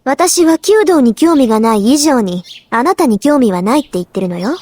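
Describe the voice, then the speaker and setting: A woman playing a proud, composed, sharp-tongued teenage schoolgirl in a Japanese anime visual novel, polite but cutting. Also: high-pitched, expressive, rapid-fire. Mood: scornful.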